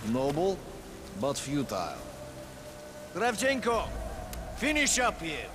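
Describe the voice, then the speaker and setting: An actor playing a cold, ruthless Soviet general with a curt, authoritative manner. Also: male voice, fairly high pitch, huge pitch swings, takes it slowly, music in the background, full character voice. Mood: cold, displeased. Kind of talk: dramatic game cutscene acting.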